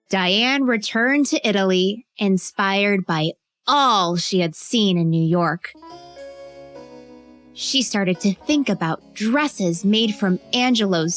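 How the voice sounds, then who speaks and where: A woman reads a scripted biographical story for children, phrasing it clearly like a storyteller with conversational asides. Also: medium pitch, a bit bright. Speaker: narrator.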